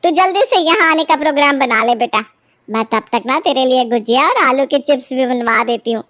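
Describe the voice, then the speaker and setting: A woman's voice: high-pitched, a little fast, a bit bright. A woman voicing a stingy, scheming older mother-in-law in a cartoon family story, scolding and gossiping indignantly. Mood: coaxing.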